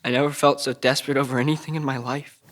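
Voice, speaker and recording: An actor playing a troubled, childlike young man recounting abuse in a halting, stammering way at a table read of an audio drama. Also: mid-range voice, level tone, fairly bright tone, lightly acted. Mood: desperate, haunted.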